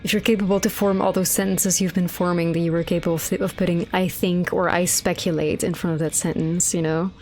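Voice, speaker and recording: A woman's voice: fairly low pitch, little pitch movement, a little fast, hardly any pauses, a little mellow, soft background music. Swearing woman streamer. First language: Dutch. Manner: rambling, swearing, exasperated. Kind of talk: casual gameplay chat.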